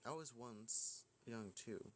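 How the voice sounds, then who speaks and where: A man's voice: mid-range voice, slow, noisy background, lightly acted. A man voicing a stern older female schoolteacher in a visual novel, lecturing in a formal, scolding, matter-of-fact way. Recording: music behind the voice.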